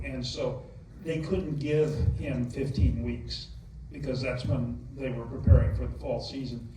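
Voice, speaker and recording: A man who is a film historian answers audience questions at a theater Q&A, halting and full of fillers as he piles up details. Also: mid-range voice. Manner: halting, many fillers and restarts, detail-heavy. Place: large movie theater. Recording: echoey theater hall, distant mic, noisy.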